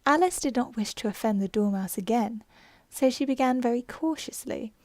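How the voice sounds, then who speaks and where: A woman narrating a classic storybook in a soft, unhurried ASMR style, slipping into whispers now and then. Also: on the high side, animated, no room sound. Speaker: audiobook narrator.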